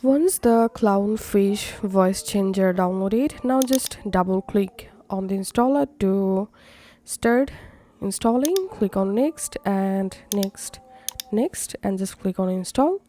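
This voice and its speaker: A woman narrating a step-by-step software tutorial as its host. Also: medium pitch, lively intonation, slow.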